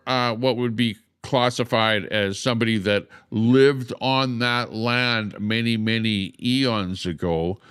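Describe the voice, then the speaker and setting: A man's voice: on the low side, a bit bright, clean tone, no room sound, clean studio sound. An older man hosting a livestream, explaining at length with frequent "uh"s and a repeated "okay?". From Canada. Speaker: livestream host. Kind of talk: casual livestream monologue.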